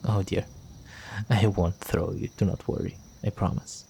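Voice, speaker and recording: Low, slow, seductive vampire gentleman, acted by a man. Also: steady tone, a little hiss or hum. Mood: gently amused. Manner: seductive, courtly, teasing, with breathy murmurs. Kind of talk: intimate roleplay audio drama.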